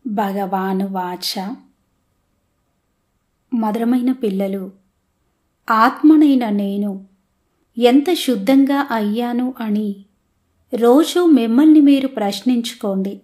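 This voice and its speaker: Slow, higher-voiced woman reading devotionally. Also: dark tone. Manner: measured, deliberate phrasing. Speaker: religious reader.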